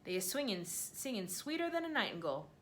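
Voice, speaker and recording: A woman doing the BFG, a gentle earnest giant. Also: mid-range voice, sing-song, fast, slight background noise. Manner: jumbled grammar, made-up words. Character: huge gentle male giant, earnest and wondering.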